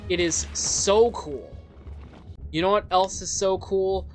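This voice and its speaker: A woman's voice: mid-range voice, slow pace, darkish tone. A woman hosting a let's play, reading a game's story aloud in a dramatic storyteller way and adding her own commentary. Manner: dramatic storyteller delivery with interjections. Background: game music.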